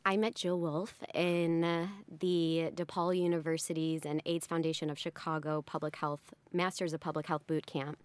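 A woman's voice: low-pitched, flat delivery, normal speed, few pauses, smooth, no echo. A woman in her late twenties telling a personal story in an earnest, heartfelt way. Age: 20s. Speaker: interview participant and health advocate.

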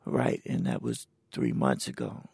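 An older man in his sixties talks with a deeply gravelly, husky voice. His delivery is plain and halting, unhurried as he tells his own story. The sound is dry and close. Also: a little mellow, no echo. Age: 60s. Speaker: interviewee. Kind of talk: recorded personal conversation. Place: indoors at a cultural center.

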